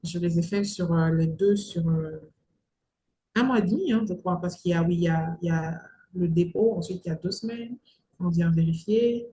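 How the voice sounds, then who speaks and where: A woman's voice: lowish voice, normal speed, dark tone. Casting: young woman, emphatic conversational interviewee, roomy echo and faint noise behind. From Mali. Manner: emphatic, repeats phrases for stress. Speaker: entrepreneur interview guest. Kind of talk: conversational advice-giving interview talk.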